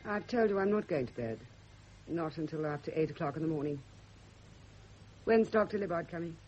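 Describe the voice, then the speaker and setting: A woman's voice: sits low, lively intonation, a little fast, a little mellow. A woman playing a stubborn, suspicious spinster in a radio drama, with a curt, accusing manner. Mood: irritable. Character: suspicious, stubborn, brittle spinster, hostile to her carer. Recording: muffled old radio drama recording, faint hiss.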